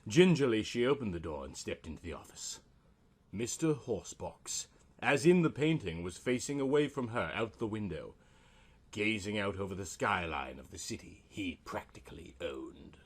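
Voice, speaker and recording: A man's voice: on the low side, sing-song, normal speed, breathy edge. A man narrating a scripted comic story in a melodramatic, mock-serious manner.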